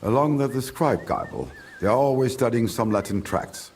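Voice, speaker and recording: An actor playing a grumbling, condescending village merchant in a video game, with a German accent and German phrases slipped in. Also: male voice, mid-range voice, big pitch swings, moderate pace, darkish tone, slight background noise. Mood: gossipy. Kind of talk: video game character dialogue.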